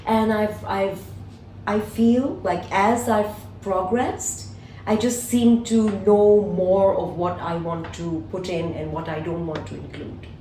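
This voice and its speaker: A woman's voice: mid-range voice, steady tone, slow pace, mellow, slight background noise. A woman being interviewed, chatting enthusiastically and running through long lists of things. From Singapore. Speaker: guest, collage artist. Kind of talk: casual interview answers. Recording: echoey room, voice from a distance, faint ambience.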